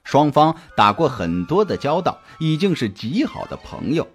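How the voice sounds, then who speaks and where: A man's voice: fairly high pitch, very animated, moderate pace. A man narrating a scripted suspense story as an audiobook reader, in a dramatic storytelling style. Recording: music behind the voice. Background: background music.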